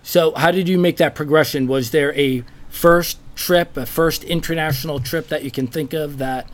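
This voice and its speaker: A man hosting a travel podcast, reading a scripted introduction and then asking his guest curious, measured questions. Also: on the high side, steady tone. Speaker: podcast host.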